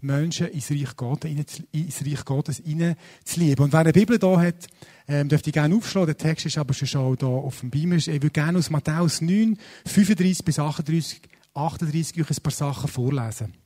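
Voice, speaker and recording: A man in his forties with a Swiss German accent, preaching a sermon in a casual, lively, self-deprecating way with many fillers. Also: on the high side, brisk. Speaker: pastor. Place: church service.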